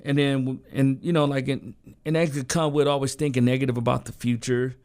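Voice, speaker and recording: Hoarse guy cohosting, laid-back, rambly with lots of um and like. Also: mid-range voice, fairly even intonation, a little fast, a little mellow. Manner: laid-back, loose and repetitive, lots of fillers. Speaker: co-host. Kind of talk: casual podcast chat.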